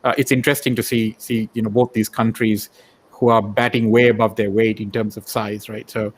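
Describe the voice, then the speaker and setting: A man's voice: on the low side, level tone, a little fast, slightly rough. A man from Sri Lanka hosting a casual interview, rambling tentatively as he works toward his questions. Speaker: show host, interviewer.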